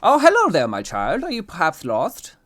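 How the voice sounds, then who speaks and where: A performer voicing a kindly, excitable fancy gentleman who stammers and gushes. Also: mid-range voice, moderate pace, pitched above the performer's own voice. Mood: friendly. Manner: stammering, effusive.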